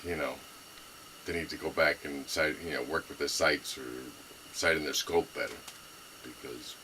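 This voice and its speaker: A man's voice: very deep voice, normal speed, pushed and bright, a little hiss or hum. A middle-aged man with a Brooklyn accent, rambling casually with lots of fillers and restarts as he shows off his rifle. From New York. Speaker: gun owner reviewing his rifle. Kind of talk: casual rambling show-and-tell.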